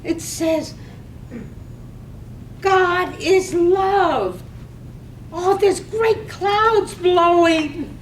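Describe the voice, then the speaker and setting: An actress playing a scandal-loving village wife in a stage reading of a poetic radio drama. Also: female voice, very slow, long pauses, full character voice. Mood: entranced. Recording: echoey room, distant mic, faint rumble.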